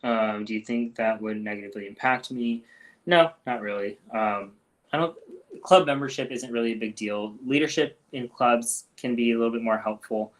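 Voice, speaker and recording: A man hosting a casual live-stream Q&A as a doctor, talking loosely with lots of ums and restarts and letting his sentences trail off quietly. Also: medium pitch, moderate pace, low-quality recording. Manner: relaxed, frequent ums and restarts, trails off softly.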